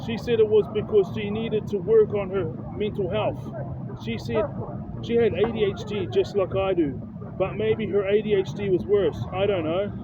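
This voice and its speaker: A man in his late thirties with a New Zealand accent speaks in a fairly high voice and delivers an earnest self-help monologue, circling back to repeat his points. The sound is thin and phone-like. Loud street traffic rumbles behind him outdoors. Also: steady tone, normal speed. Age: 30s. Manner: earnest, repeats and restarts phrases. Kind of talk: personal motivational monologue. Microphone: phone held close. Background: cars and street noise.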